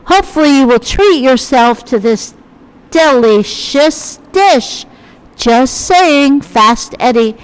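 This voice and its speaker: A woman's voice: high voice, expressive, slow, smooth, rough recording. A woman interviewer talking casually and bluntly, keeping things moving.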